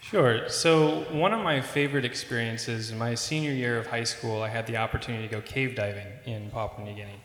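A man's voice: medium pitch, brisk, few pauses, fairly bright tone. Young man in an echoey hall. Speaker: student panelist. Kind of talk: casual panel talk. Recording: echoey hall with faint noise.

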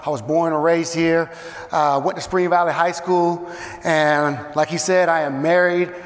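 A man in his thirties from South Carolina, slightly hoarse, giving a casual, energetic chapel talk to students as a guest coach. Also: a little high, measured pace, long reverb tail. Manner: energetic, conversational, says um and uh. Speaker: guest chapel speaker and youth football coach. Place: campus chapel.

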